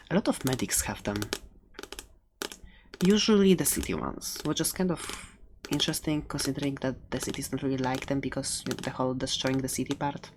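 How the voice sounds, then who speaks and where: A woman playing a friendly, chatty fantasy shopkeeper in a soft ASMR roleplay, playful and hesitant as she rambles through her sales pitch. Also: a little hiss or hum. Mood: informative, chatty.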